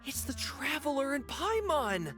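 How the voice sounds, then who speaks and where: Eager, admiring youngster, highly expressive, chatty anime game dialogue over music. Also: female voice, measured pace. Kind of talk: anime-style video game voice acting.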